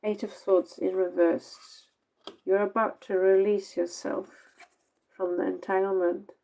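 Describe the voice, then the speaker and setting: A tired woman with a Norwegian accent, talking slowly through a late-night tarot reading. Her voice is gravelly with plenty of fry. She rambles bluntly and sarcastically in her second language. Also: mid-range voice, flat delivery, warm tone, poor audio. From Norway. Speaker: tarot reader.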